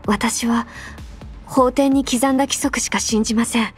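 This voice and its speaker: A woman voicing an earnest, rule-bound young female adjutant in an anime game, speaking formally and politely with quiet care. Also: fairly high pitch, fairly even intonation, measured pace, lightly acted. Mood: formal, softly earnest. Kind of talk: Japanese anime game voice lines, intimate delivery. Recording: background music under the voice. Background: music.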